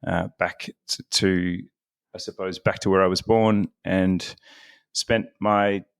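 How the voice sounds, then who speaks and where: A man's voice: deep voice, level tone, slow pace, creaky, clean tone, no echo. A man, a podcast guest talking casually and laughing often at himself. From Australia. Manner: chatty, self-deprecating, laughs often.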